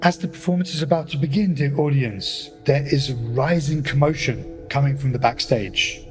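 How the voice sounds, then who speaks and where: A man's voice: medium pitch, normal speed, over music, lightly acted. A man playing a formal, showmanlike narrator in a scripted radio play. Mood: announcing. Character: theatrical male narrator addressing his audience.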